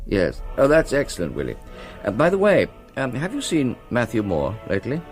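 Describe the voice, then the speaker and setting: An actor playing a discreet, wry English college academic in a radio play, with a measured, hesitant, understated manner. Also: male voice, mid-range voice, wildly swooping pitch, soft background music. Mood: friendly, probing. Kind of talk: radio play acting, conversational.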